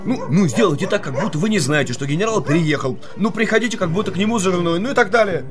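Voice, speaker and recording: A man's voice: sits high, animated, quick pace. An actor playing an impatient, rude young man in a comic audio drama, brusque and blustering, repeating himself. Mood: agitated, urging. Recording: music under the voice.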